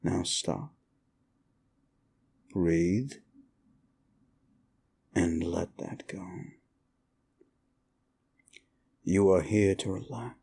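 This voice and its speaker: Male demon, played by a man, comfort ASMR roleplay: dark, unhurried voice, grudgingly soothing, drily menacing. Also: low voice. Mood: soothing, hushed. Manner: slow, deliberate, dryly menacing yet soothing. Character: male demon, sinister soul-eater grudgingly soothing a human. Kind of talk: comfort ASMR roleplay, intimate address to the listener.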